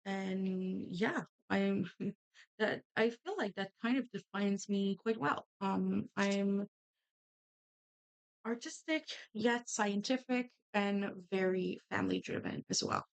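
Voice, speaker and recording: A woman's voice: medium pitch, slow, creaky, clean tone, no room sound. A young woman from Quebec with a Quebec French accent, a native French speaker chatting casually and rambling with many restarts while she crafts. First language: Canadian French. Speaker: crafting channel host.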